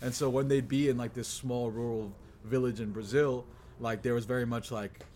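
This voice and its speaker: A man from the American East Coast hosts a casual chat over a noticeable layer of noise. His pitch sits in the middle, and he talks in an earnest, slangy way as he asks questions. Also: steady tone, moderate pace, darkish tone. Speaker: podcast host. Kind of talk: casual conversational interviewing.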